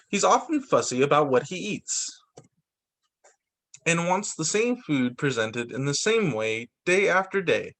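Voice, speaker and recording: A male teacher reading picture books aloud to children, expressive and dropping in short spoken asides of his own. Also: a little high. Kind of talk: read-aloud story time for children.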